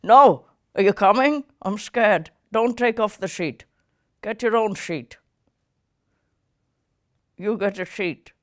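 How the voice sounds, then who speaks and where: A woman's voice: mid-range voice, takes it slowly, pauses often, lightly acted. A woman ventriloquist voicing a cheeky, stubborn monkey puppet who argues bluntly and swears in improvised comedy. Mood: nervous.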